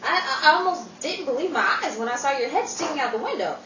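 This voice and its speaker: A woman playing an excitable, exasperated and dryly sarcastic best friend in a 1940s-style radio comedy. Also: high voice, fast talker, distant mic, dull audio. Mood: excited, incredulous. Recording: noisy, echoey room, off the mic.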